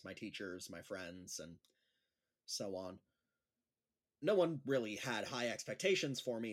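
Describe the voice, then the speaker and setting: A man playing an awkward, self-deprecating schoolteacher in a naturalistic audio drama, with a hesitant manner. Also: fairly low pitch. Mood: earnest, wistful. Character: awkward, self-deprecating male schoolteacher trying stand-up comedy.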